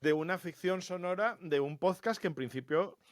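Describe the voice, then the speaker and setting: A man's voice: high voice, moderate pace, no room sound, studio quality. A man hosting a podcast, chatting casually and putting questions to a guest. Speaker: podcast host interviewing guest.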